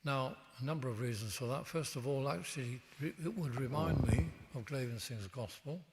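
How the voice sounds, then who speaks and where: A man speaking as an interview guest in a church, reflective and halting, with frequent restarts. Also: mid-range voice, slight background noise. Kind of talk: reflective conversation. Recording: long church reverb.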